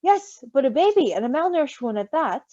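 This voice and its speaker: A woman's voice: sits high, big pitch swings, fairly quick, mellow, no room sound, muffled recording. A woman voicing a precise, practical beaked male creature who gives formal instructions in a storybook.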